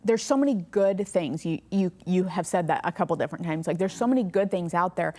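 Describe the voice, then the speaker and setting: A woman hosting a faith podcast, asking questions and affirming her guest warmly with quick agreements. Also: lowish voice, lively intonation. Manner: affirming, encouraging, many quick yeahs. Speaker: podcast host.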